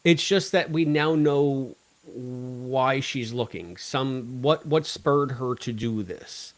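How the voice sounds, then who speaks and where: A man's voice: medium pitch, slow. A man hosting a podcast in a casual, talkative style, discussing and reviewing drama while freely giving his opinions. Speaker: podcast host.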